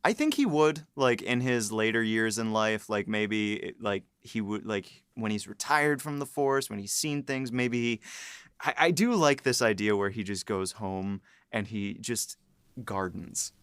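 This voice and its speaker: A man's voice: on the low side, expressive, measured pace, fairly bright tone, smooth, no echo, clean studio sound. A man co-hosting a podcast as a writer and voice actor, chatting casually with many restarts and filler words. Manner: hesitant, lots of "like" and restarts, laughs often. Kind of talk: casual behind-the-scenes chat.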